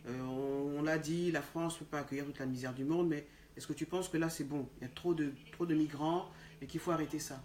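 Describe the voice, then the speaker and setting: A woman's voice: low voice, steady tone, fairly quick, darkish tone. A woman hosting an interview, asking long questions with many fillers. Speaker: interview host. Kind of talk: conversational interview. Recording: echoey room with faint background noise.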